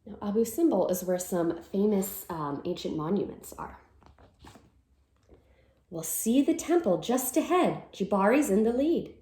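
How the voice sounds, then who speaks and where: Woman reading aloud, lively pitch, echoey room. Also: a little slow. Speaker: teacher reading a picture book aloud to children. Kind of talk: read-aloud storytime with simple explanations.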